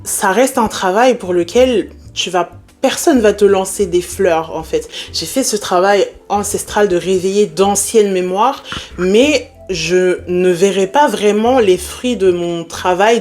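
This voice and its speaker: Animated young French woman vlogging. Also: medium pitch, unhurried, faint music behind. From France. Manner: lively, animated, mixes in English words. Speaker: spiritual content creator. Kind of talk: casual talking-to-camera vlog.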